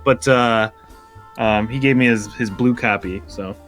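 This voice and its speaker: A man's voice: on the low side, a little slow, a bit bright, soft background music. A young adult man chatting casually and enthusiastically in a rambling, conversational way. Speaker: member of an amateur script-reading group. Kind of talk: casual group chat, showing off collectibles. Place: at home on a group video call.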